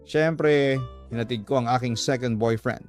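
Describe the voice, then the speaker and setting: A man's voice: medium pitch, normal speed, darkish tone, faint music behind. A man narrating a scripted dramatic letter as the host of a radio drama.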